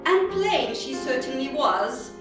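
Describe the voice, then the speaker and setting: A woman narrator tells a scripted story at a slow, deliberate pace. Her voice is fairly high and theatrical. It rings through a very echoey hall over music. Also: sing-song. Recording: very echoey hall, distant mic, music behind.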